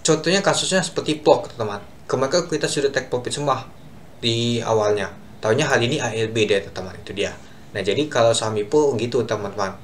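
A man's voice: medium pitch, brisk, a little hiss or hum. Chatty young man walking through stock charts. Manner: chatty, repeats "teman-teman" constantly, talks through charts. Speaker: stock market youtuber. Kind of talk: casual screen-share stock analysis. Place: small room at a computer.

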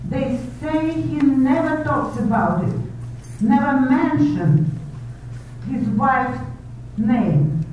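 Radio-play casting: woman as imperious older society snob, high animated voice, gushing theatrically, captured far off in an echoey hall. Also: drawn-out words, pauses often, muffled recording, a little hiss or hum, exaggerated voice. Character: gushing, bossy, snobbish older society lady. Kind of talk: 1930s radio drama acting.

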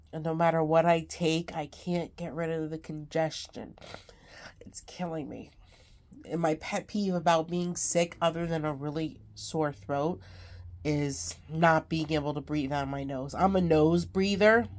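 Low, stuffy-nosed woman vlogging while sick; rambling, casual, lots of fillers. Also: darkish tone. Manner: rambling, many ums, laughs between lines. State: congested, sick. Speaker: craft vlogger. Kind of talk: casual chat to camera.

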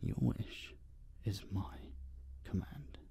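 An obsessive, possessive masked kidnapper played by a man in an intimate audio drama. He speaks very slowly and half in whispers, with a heavy gravelly creak. His manner is dominant, cooing and teasing, coaxing with open menace. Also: lowish voice, warm tone. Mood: teasing, menacing.

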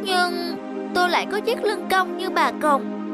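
A woman's voice: very high voice, lively intonation, measured pace. A woman voicing a timid female shrimp in a cartoon, plaintive and self-pitying. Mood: sad. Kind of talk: cartoon voice acting. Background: music.

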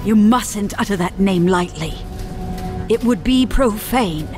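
A woman's voice: mid-range voice, animated, slow pace. A woman playing a stern, zealous young knight commander in a comic dub, formal and commanding. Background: background music.

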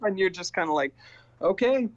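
A man in his forties, an actor guest on a video call, telling set stories with lively acted-out quotes. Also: high voice, normal speed. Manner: animated storytelling, acts out quoted exchanges. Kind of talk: casual interview over video call. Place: small indoor room with purple backlight. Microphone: webcam video call. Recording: slightly dull lo-fi video call audio.

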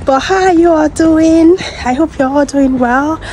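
A woman in her thirties with a West African accent and a hoarse voice from a sore throat, chatting to viewers as she walks outdoors, filming on a handheld phone. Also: high voice, unhurried, darkish tone, low-quality recording. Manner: chatty, addressing viewers while walking. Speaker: vlogger. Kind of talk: casual walking vlog. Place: outdoors on a sunny suburban street. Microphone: phone held at arm's length. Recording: outdoor street noise with cars.

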